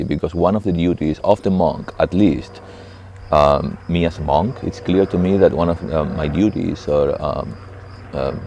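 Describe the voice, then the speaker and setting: A middle-aged monk with an Argentine Spanish accent speaks in an extremely deep voice with a gravelly rasp. He talks reflectively and haltingly, often stopping to find the next word. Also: a little mellow, slight background noise. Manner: halting and thoughtful, pausing often to search for words. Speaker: monk, abbot of a monastery. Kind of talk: reflective spoken talk to camera.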